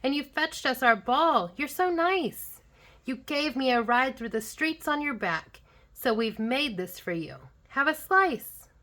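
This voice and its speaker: A woman voicing eager, adoring small children from a storybook, pleading in an excited way. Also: fairly high pitch, slow pace.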